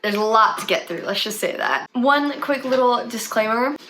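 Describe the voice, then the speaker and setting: A woman's voice: a little high, expressive, normal speed, fairly bright tone, a little hiss or hum, poor audio. A young woman with a slight cold, chatting casually as she tells a true crime story for her channel. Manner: chatty, conversational with fillers. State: has a cold, sniffly.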